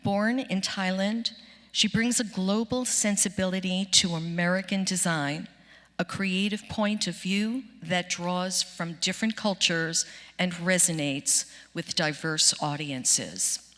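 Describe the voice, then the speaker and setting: A woman hosting an event, formally introducing speakers from prepared notes in a measured way. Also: fairly low pitch, a bit bright. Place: large auditorium stage. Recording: echoing auditorium with long reverb.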